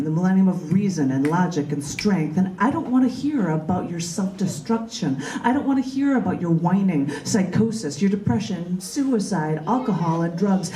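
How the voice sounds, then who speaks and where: A woman's voice: on the low side, warm tone. A woman reading her own poetry live at an open mic in a large indoor bookstore, in a spoken-word style. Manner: emphatic, declamatory, repetitive rhythmic delivery. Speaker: poet reading own work. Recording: roomy, echoing live recording in bookstore.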